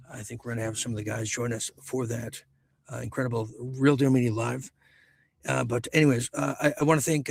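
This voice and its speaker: Husky, gravelly man hosting late-night talk. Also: mid-range voice. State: losing his voice. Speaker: talk show host. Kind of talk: late-night talk show, casual rambling commentary.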